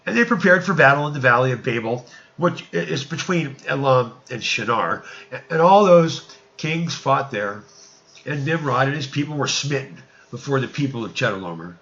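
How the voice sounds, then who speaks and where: A man reading archaic scripture narrative aloud in an emphatic, declamatory and sometimes halting way. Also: medium pitch, expressive, bright, forceful tone, lo-fi sound.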